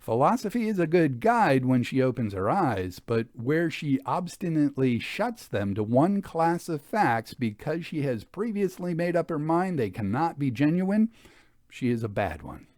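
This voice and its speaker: A man giving a formal, measured and expressive storytelling read of an old Victorian ghost account. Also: fairly high pitch, no room sound, studio quality. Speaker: audiobook reader. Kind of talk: dramatic scripted narration of a Victorian ghost account.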